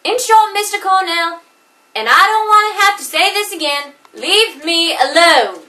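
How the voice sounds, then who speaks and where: A woman's voice: very high-pitched, measured pace, sharp tone, roomy sound, far from the mic, heavily acted. A woman performing a comedic monologue as an exasperated young woman scolding an obsessive admirer, in a theatrical, indignant manner.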